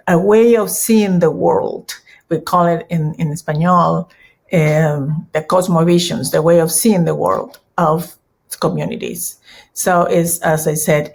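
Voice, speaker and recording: A middle-aged woman from Peru with a Peruvian Spanish accent, giving a conference talk in a halting way with many restarts. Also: on the low side, creaky, darkish tone, husky. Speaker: conference speaker. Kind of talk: conference presentation.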